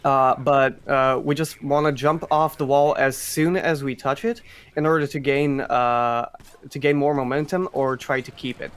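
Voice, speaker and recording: A man with a medium-pitched voice commentates on a video game speedrun. He explains things in a halting way, doubling back over his words. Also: steady tone, clean tone.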